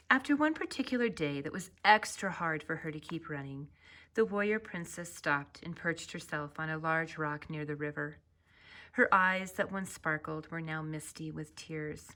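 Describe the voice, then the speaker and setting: Bright, expressive woman reading a story. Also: low voice. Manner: expressive, deliberate, drawn-out phrase endings. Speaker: author reading own children's book. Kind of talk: scripted children's story narration.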